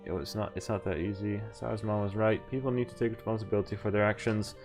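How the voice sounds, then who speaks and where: A man with a fairly low voice streams a visual novel, reading its text aloud. He drops in casual, profanity-laced comments. Music plays softly behind him. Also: steady tone, quick pace. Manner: swears freely.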